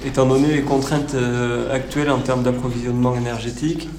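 A man playing a cautious, evasive town mayor, speaking haltingly and officially at a mock press conference for radio. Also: medium pitch, level tone, darkish tone, natural voice. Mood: cautious, resigned. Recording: roomy echo with faint noise.

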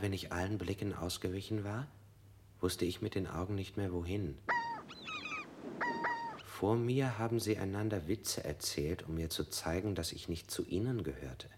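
An actor playing an anxious male suspect in a radio play, narrating in a measured, introspective way. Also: low voice, heavy background noise. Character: anxious, guilt-ridden male suspect under interrogation. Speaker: first-person narrator.

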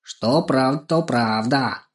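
A man's voice: medium pitch, sing-song, measured pace, darkish tone, pitched above the performer's own voice. A man voicing a proud, wise old female crow with a dignified, musing manner in a children's story.

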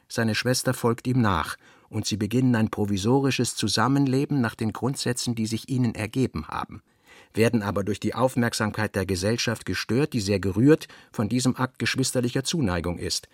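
An actor playing a reflective, self-doubting novelist reading his own notes in a radio drama, measured, cerebral and formal, with a husky voice. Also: mid-range voice, dry recording, clean studio sound, natural voice. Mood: analytical, wry.

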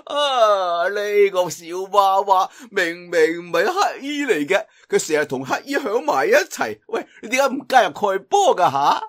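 A man's voice: high voice, sing-song, normal speed, few pauses, a bit bright, pitched above the performer's own voice. A man voicing an eccentric, playful old trickster in a Cantonese audiobook, with an odd affected voice and an exclaiming, rambling manner. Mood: gleeful. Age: older. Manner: exclaiming, rambling, mock-panicked, chuckling.

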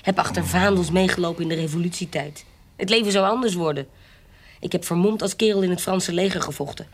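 An actress playing a shrewd, defiant adventuress in an audio period drama, guarded, cool and commanding. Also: female voice, fairly low pitch, fairly quick. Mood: proud, worldly. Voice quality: husky. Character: shrewd, defiant adventuress, forger and courtesan posing as noble. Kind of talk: audio drama acting in Dutch period piece.

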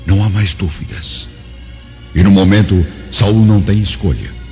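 Male radio drama narrator; low, mellow, solemn scripted delivery, long reverb, dull sound with soft score. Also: moderate pace. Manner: solemn, theatrical. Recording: muffled, long reverb, music behind.

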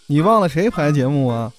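A woman's voice: sits low, a little mellow. A woman voicing a cocky, bossy young male comedian who teases and threatens playfully in an audio drama. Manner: swaggering, teasing.

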